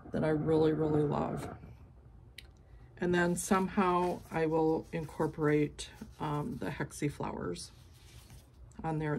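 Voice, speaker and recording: Low-voiced chatty woman quilter. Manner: chatty, rambling, many "um"s and restarts. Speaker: quilting vlogger. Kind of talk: casual show-and-tell chat. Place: cluttered home craft studio, indoors. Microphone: phone camera at arm's length.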